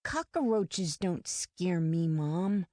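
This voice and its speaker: A woman's voice: fairly low pitch, animated, extremely slow, mellow, no room sound. Woman voicing a little boy, light raised voice, earnest, curious, dry sound. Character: young boy, brave and curious.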